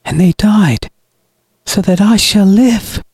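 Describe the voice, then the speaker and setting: Man acting a sanctimonious villain brother, raised pitch. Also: slow, a little mellow, breathy edge, dry recording, full character voice. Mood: cold, mocking. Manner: grandiose, sanctimonious, taunting. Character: cold, mocking, murderous brother preaching sacrifice.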